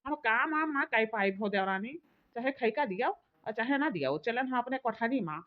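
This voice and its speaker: A woman's voice: fairly high pitch, lively intonation, moderate pace, close to an everyday voice. Woman playing a combative, hot-tempered village sister-in-law. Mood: defiant grumbling. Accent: Awadhi. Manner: combative, grumbling, repeats phrases. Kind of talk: rustic cartoon comedy dialogue. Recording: dull lo-fi sound.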